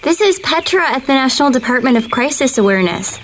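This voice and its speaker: An actress playing an earnest young female government video analyst who speaks with calm customer-service politeness. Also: high voice, fast talker, slight background noise. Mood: polite. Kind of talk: audio drama acting.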